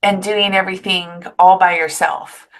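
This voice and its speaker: A woman's voice: fairly low pitch, steady tone, moderate pace. A woman hosting an interview podcast, chatty and enthusiastic, wandering into asides. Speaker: podcast host. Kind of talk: casual interview chat.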